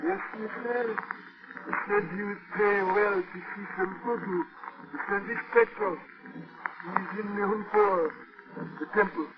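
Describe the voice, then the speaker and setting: An actor playing a solemn, menacing old Haitian witch doctor in 1940s radio horror, with slow incantatory delivery. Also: high-pitched, big pitch swings, exaggerated voice. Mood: sly, ominous. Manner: slow incantatory chanting, ominous. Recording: dull vintage radio recording.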